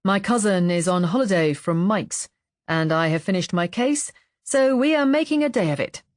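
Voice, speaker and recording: Woman reading as a measured, guarded male police inspector. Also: on the low side, lively intonation, no room sound, studio quality, close to an everyday voice. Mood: casually genial.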